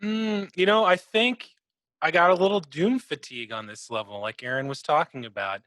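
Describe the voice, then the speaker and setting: A man's voice: fairly high pitch, normal speed, bright, forceful tone, dry recording. A man co-hosting a podcast in casual conversation, speaking into a close microphone. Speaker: podcast co-host. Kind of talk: casual chat.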